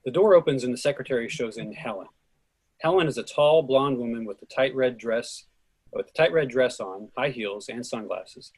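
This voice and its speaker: Narrator, male, mid-range and a little raspy, reading script action for a readers theatre. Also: mid-range voice, moderate pace, rough recording. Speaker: narrator reading stage directions.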